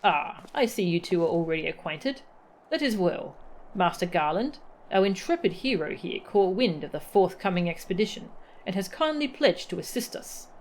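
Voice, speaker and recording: A woman voicing a courteous, learned expedition leader in a fantasy game, with formal, wordy and measured speech. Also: lowish voice, sing-song, slight background noise. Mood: pleased, formal.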